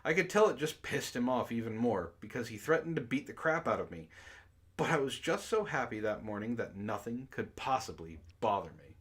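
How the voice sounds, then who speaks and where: A man's voice: medium pitch, sing-song, fairly quick, fairly bright tone. A man hosting a book club stream, reading aloud and chatting casually. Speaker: host and reader of a comic book club stream.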